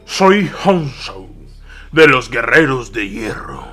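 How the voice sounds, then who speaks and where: A man playing a fierce, triumphant warlord in an audio war drama, booming out defiant proclamations. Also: sing-song, slow, sharp tone, heavily acted. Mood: defiant shouting. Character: fierce, triumphant warlord warrior bellowing challenges.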